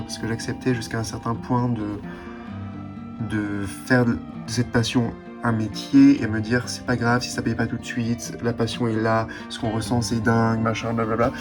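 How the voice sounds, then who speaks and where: Introspective young man over soft music. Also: lowish voice. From Switzerland or France. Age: late 20s. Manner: hesitant, searching, introspective. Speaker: vlogger. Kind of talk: candid personal vlog monologue. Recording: background music.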